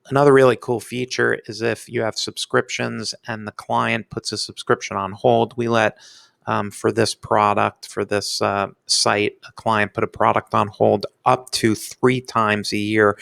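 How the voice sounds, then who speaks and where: Gravelly, low-voiced man reviewing software casually. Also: no room sound. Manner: informal, halting, enthusiastic. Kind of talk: casual screencast product review. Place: indoors, screen-recording voice-over.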